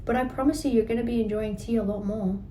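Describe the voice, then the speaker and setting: A woman's voice: a little high, steady tone, quick pace, mellow, reverberant, a little hiss or hum, rough recording. A woman leading a healing coaching session, encouraging and often checking in with "right?". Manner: encouraging, repeats "right?" and "guess what". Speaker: healing practitioner.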